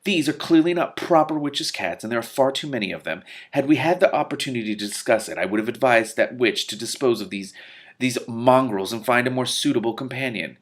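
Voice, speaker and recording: A man's voice: mid-range voice, animated, fairly quick, voice pushed up, natural voice. A man voicing a pompous, sneering male sorcerer in a storybook, haughty and indignant.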